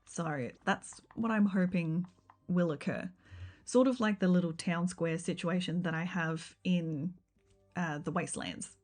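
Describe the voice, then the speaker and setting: A woman, chatting casually on a game livestream. Her voice is a little rough and croaky, and she meanders along with frequent ums and likes. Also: fairly low pitch, unhurried, darkish tone, soft background music. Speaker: game streamer. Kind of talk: casual livestream chat while building in a game.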